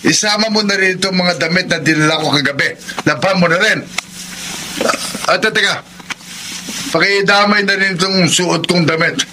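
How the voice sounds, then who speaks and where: Actor playing a high-voiced, defensive Filipino husband. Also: male voice, normal speed, pauses often, background noise, natural voice. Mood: dismissive. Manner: dismissive, blustering, evasive. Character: defensive, irritable, lying philandering husband. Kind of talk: Filipino radio drama acting.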